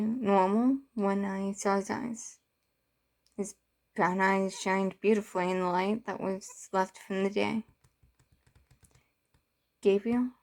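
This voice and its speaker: A woman reading her own first-person story aloud, halting and sometimes stumbling over the lines. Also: medium pitch, darkish tone.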